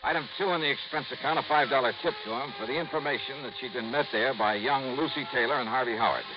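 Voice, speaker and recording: Male actor as wry hardboiled 1950s radio investigator. Brisk, clipped, medium pitch, muffled vintage sound. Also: background noise, lightly acted. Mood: brisk narration. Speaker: lead actor and narrator. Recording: dull vintage radio recording with music.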